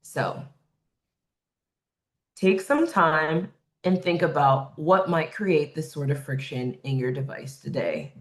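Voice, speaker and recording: A woman with a loud voice facilitating a design webinar, explaining points conversationally and checking in with "right?". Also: low-pitched, very animated, slow, long pauses. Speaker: workshop facilitator. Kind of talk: webinar presentation.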